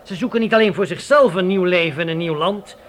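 A man's voice: high-pitched, fast, a bit bright, a little hiss or hum. A man playing an earnest, idealistic frontier officer in a radio drama, with a solemn, declamatory delivery. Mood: admiring, earnest.

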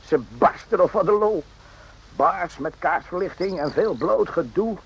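An actor playing a naive, randy young soldier in a radio drama, rambling and jokey in an eager, confiding way. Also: male voice, sits high, expressive, unhurried, creaky, husky, exaggerated voice. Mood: eager, joking. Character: chatty, naive, randy young army soldier, former circus hand. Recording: muffled lo-fi old radio recording.

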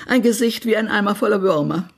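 Husky, acid society gossip. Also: female voice, mid-range voice, fairly quick. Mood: scornful, smug. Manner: blunt, acid, imperious, swearing. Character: spiteful small-town society gossip, haughty woman of old family. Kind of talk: German radio play acting.

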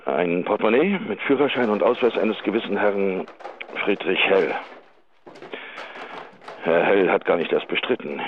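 Awkward, formal detective chief, radio drama: hesitant bureaucratic delivery, echoey, tinny phone sound. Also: male voice, deep voice, breathy edge. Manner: officious, stilted, hesitant. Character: formal, awkward middle-aged police chief inspector. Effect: telephone filter. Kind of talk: German radio drama acting.

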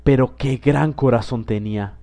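A man voicing a calm, grave male ghost in an audiobook reading, in a near-natural tone. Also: mid-range voice, normal speed, creaky, close to an everyday voice. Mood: solemn. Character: solemn male ghost of the past. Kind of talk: audiobook character voice.

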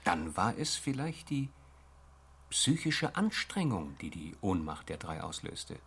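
Measured male investigator, sci-fi radio play; very low, husky voice, reflective. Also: sing-song, a bit bright, heavily acted. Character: reflective, cautious male investigator discussing a mystery. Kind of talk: German science fiction radio drama acting.

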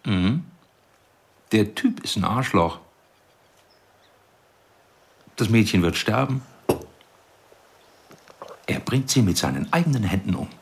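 Creaky, sardonic ghost of a husband. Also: male voice, fairly low pitch, big pitch swings, measured pace, long pauses. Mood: wry, blunt. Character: ghost of a dead husband, sardonic, dark, needling. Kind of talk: radio drama acting.

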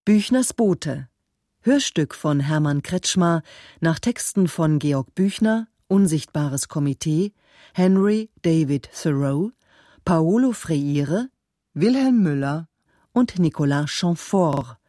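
A woman radio announcer reading scripted credits in a measured, precise manner. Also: low-pitched, lively intonation, dark tone, no echo, studio quality. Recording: radio production with music behind. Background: instrumental music.

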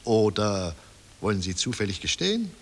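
An actor playing a pompous, overbearing Austrian examining magistrate in a radio drama, pedantic and sarcastic. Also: male voice, fairly low pitch, sing-song, moderate pace. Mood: indignant, stern. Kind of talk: 1960 radio drama acting. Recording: reverberant hall-like room.

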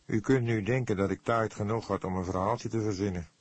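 A man's voice: lowish voice, fairly even intonation, husky. Een man die in een luisterboek een angstige jonge verdachte speelt, verdedigend, oprecht, emotioneel en soms haperend. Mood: agitated, explaining. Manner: halting, pleading, earnest. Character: anxious young male suspect, defensive and emotional. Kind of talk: audiobook character dialogue.

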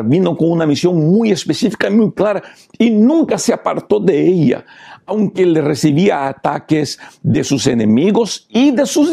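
Gravelly pastor. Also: male voice, a little high, warm tone. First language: Portuguese. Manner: preachy, repeated rhetorical questions. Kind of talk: Bible lesson commentary.

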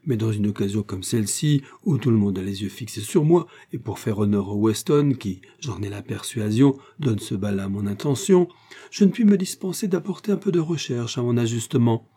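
A man's voice: mid-range voice, expressive, fairly quick, dark tone, breathy edge. A man reading aloud, voicing a vain young bride who talks in a showy, self-satisfied way.

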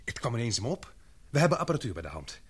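A daring young expedition member in a radio play, eager yet deferential. His speech comes fast, with a husky edge and very lively intonation. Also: mid-range voice. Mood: eager, persuasive. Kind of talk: Dutch radio drama acting.

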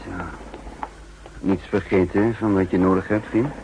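A man playing a thoughtful double agent in measured, reflective speech for an old radio play. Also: deep voice, steady tone, noisy background. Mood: casual, assured. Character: thoughtful, troubled male double agent narrating his story. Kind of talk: 1960s Dutch radio play acting and narration.

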